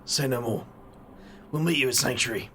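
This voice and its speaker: A man's voice: medium pitch, normal speed, fairly bright tone, slight background noise, lightly acted. A man voicing a brooding, world-weary wizard investigator with a wry, dry way of talking. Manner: wry, brooding, muttering asides.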